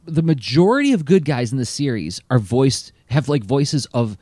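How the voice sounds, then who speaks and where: Animated man chatting on a podcast. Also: mid-range voice, normal speed, no room sound, clean studio sound. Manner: animated, lots of fillers. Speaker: podcast co-host. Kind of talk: casual chat.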